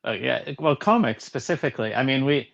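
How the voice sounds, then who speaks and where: A man's voice: medium pitch, lively intonation, fairly quick, creaky. A Canadian man, an animator and author, answering interview questions in an easygoing, modest way with many uh fillers. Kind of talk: casual interview talk. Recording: slightly dull, lo-fi sound.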